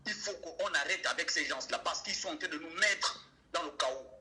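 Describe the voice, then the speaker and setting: A man's voice: a little high, normal speed, sharp tone, faint music behind. A young man calling in to a debate show, arguing emphatically and firing off rhetorical questions. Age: young adult. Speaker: caller. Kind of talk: call-in debate.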